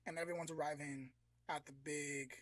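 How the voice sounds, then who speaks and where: A man co-hosting a podcast, telling a long story in a casual, animated way, full of "like" and acting out voices. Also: on the high side, normal speed, dry recording. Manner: animated, filler-heavy, acts out voices. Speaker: podcast co-host.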